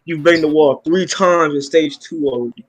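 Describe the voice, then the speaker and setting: A man with a somewhat raised pitch chats with fellow drivers over voice chat during an online race. He sounds defensive and teasing, trading casual jabs with them. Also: normal speed. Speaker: sim racing driver on voice chat. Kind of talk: casual banter over team radio.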